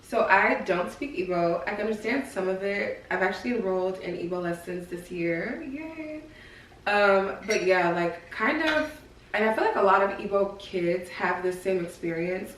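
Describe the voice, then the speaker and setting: A woman's voice: medium pitch, expressive, normal speed, a bit bright, far from the mic, slight background noise. Laid-back woman, teasing, echoey room. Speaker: podcast co-host. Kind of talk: casual chat.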